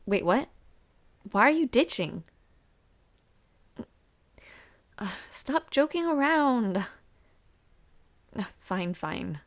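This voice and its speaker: A woman's voice: on the high side, very animated, slow pace, long pauses, heavily acted. A woman playing a friendly, teasing young university student, chatting casually and playfully in an intimate audio roleplay. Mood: casual, slightly let down. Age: young adult. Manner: chatty, breezy, playful with soft asides.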